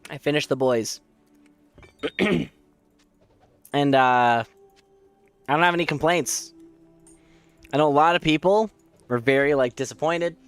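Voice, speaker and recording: A man streaming a game who chats casually and jokes at his own expense with viewers, hoarse from losing his voice. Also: on the high side, measured pace, pauses often, faint music behind. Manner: rambling, self-mocking, joking with viewers. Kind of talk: casual chat while playing.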